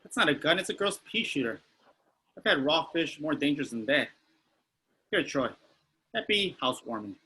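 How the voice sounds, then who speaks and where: A man's voice: on the high side, expressive, normal speed, pauses often, sharp tone, slightly rough, full character voice. A man reading the part of a boastful, oblivious older man in a sitcom table read, with some noise behind him. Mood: smug.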